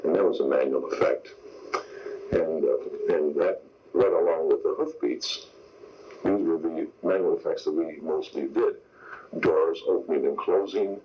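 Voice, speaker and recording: A man's voice: very deep voice, a little slow, slight background noise. An older man, a veteran radio sound effects man, explaining his craft step by step in an interview. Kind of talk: interview reminiscence. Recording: dull lo-fi radio broadcast tape.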